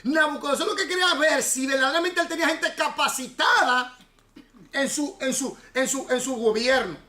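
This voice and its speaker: A man's voice: very high-pitched, moderate pace, bright, forceful tone. A man who is a pastor leading a Bible study class, explaining at length and putting questions to his students. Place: church classroom. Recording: echoey room.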